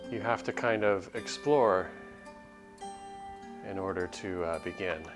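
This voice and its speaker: Low-voiced man streaming a game, music behind. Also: a little slow. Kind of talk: livestream chat and reading game text aloud. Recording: background music under the voice.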